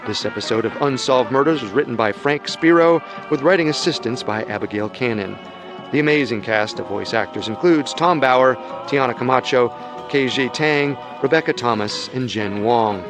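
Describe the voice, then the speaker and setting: A man hosts a podcast with measured, announcer-like scripted narration. His voice sits in an ordinary middle register, with faint music playing underneath. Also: medium pitch. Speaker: podcast host.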